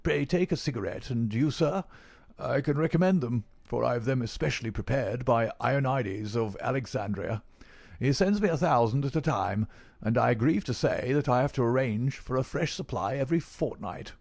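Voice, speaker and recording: A man's voice: on the high side, normal speed, darkish tone, no echo, pitched above the performer's own voice, lightly acted. A man voicing a gaunt, bedridden old professor in an audiobook, speaking in a precise, mincing, plaintive and ornate way. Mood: courteous, wistful. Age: older.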